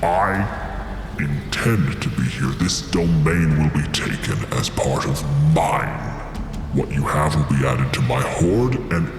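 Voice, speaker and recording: A man's voice: very deep voice, slow pace, voice pushed down, heavily acted. A man voicing a huge, imperious dragon in a tabletop game, making pompous, formal proclamations with an echoing effect. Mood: imperious, menacing. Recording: cavernous reverb, music underneath.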